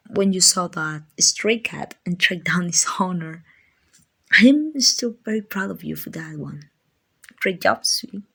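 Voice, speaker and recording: A gentle guardian angel, acted by a woman for an ASMR comfort roleplay. The voice is breathy and a little low, and the words come slowly. The angel is doting and slightly clumsy, hesitant and apologetic, with plenty of affection. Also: exaggerated voice.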